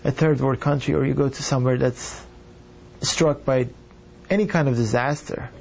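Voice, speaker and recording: A doctor from Iran telling his story in an earnest, measured way. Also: medium pitch, steady tone, vocal fry, darkish tone, husky. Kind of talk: reflective personal account.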